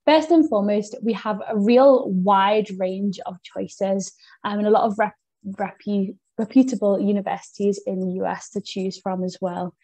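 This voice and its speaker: Young british woman running a webinar on a video call, mellow voice, dry sound, lots of ums. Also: medium pitch, moderate pace, no echo, poor audio. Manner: friendly, upbeat presenter with frequent "um" and "kind of". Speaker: webinar host and education advisor. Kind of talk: presenting a webinar.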